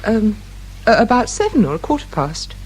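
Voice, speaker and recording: A woman's voice: fairly high pitch, very animated, moderate pace, darkish tone, a little hiss or hum, low-quality recording. An actress with a British accent playing an anxious, guarded grieving mother in a radio crime drama, speaking politely and hesitantly. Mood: guarded, defensive.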